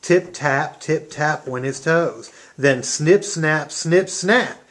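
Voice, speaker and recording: A storyteller with a medium-pitched voice, narrating a children's puppet-show tale in an animated storybook style. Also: slow pace.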